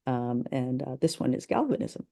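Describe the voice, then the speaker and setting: A woman co-hosting a podcast, explaining things in casual discussion and thinking aloud in a halting way. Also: a bass voice, big pitch swings, warm tone. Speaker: podcast co-host.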